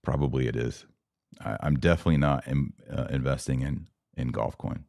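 A man's voice: a bass voice, fairly quick, vocal fry, a little mellow, dry recording, clean studio sound. A man hosting a podcast, giving opinion commentary in an emphatic, didactic way with rhetorical check-ins. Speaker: podcast host. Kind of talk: opinion commentary, monologue to live viewers.

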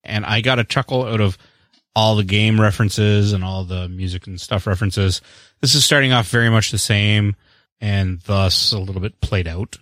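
A man's voice: fairly low pitch, steady tone, moderate pace, fairly bright tone, dry recording, studio quality. A man co-hosting a podcast, chatting casually in a rambling, wry way about movies and books. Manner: rambling, wry, self-deprecating. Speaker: podcast co-host. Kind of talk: casual chat.

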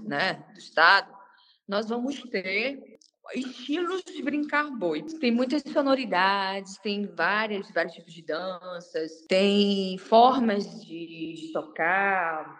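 A woman's voice: medium pitch, fairly bright tone. Echoey woman explaining folk traditions. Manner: hesitant, many fillers and restarts. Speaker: researcher of Bumba Meu Boi, interview guest. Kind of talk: explanatory interview talk.

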